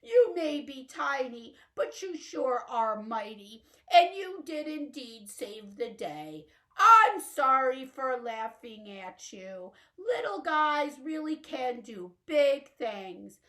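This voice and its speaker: A big storybook lion, once proud and now humbled and thankful, speaking loudly and earnestly, as a woman puts him on. His voice is bright and animated, with wide swings in pitch. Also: takes it slowly, exaggerated voice. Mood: grateful.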